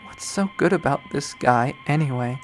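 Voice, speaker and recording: A man reading a visual novel aloud, voicing an obsessive, lovesick young woman who has turned killer, in a slow, hushed, brooding delivery. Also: on the high side, wildly swooping pitch, soft background music, voice pushed up, low-quality recording. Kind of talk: dramatic first-person readthrough.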